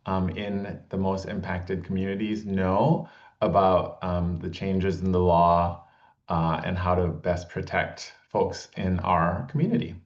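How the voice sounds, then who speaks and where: A man speaking as a guest attorney, measured and hesitant, with frequent "um"s and "uh"s. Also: low voice, fairly even intonation, muffled recording. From Malaysia. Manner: measured, hesitant, many fillers.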